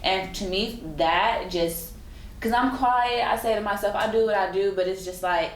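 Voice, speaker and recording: A woman's voice: medium pitch, normal speed. A woman interviewer chatting informally and affirmingly in a casual conversation. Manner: informal, frequently affirming the other speaker. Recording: echoey room, faint background noise.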